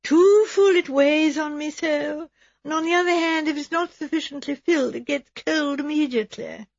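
Thin-voiced, plaintive English invalid. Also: female voice, fairly high pitch, expressive, a little slow, gravelly, slightly rough, no room sound, lo-fi sound, exaggerated voice. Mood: plaintive, martyred. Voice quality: thin, weak. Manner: melancholy, martyred, plaintive. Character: frail, self-pitying invalid spinster, melancholy and fussy.

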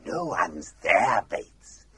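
An actor playing a mocking, unseen phantom who taunts the doctor in a comedy radio play. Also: male voice, sits low, big pitch swings, drawn-out words, fairly bright tone, slightly rough, exaggerated voice. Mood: taunting. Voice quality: taunting, sinister. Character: mocking invisible male phantom voice.

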